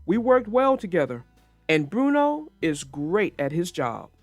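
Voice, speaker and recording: A woman's voice: fairly low pitch, big pitch swings, unhurried, no echo, soft background music. A woman voicing proud, friendly talking trains from a children's storybook in a bold, exclamatory way. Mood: proud, excited.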